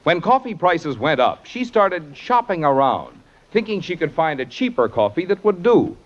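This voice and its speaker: A man's voice: a little high, sing-song, moderate pace, muffled recording. A man reading a 1950s radio commercial as a persuasive, emphatic salesman, from an old broadcast. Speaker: commercial announcer.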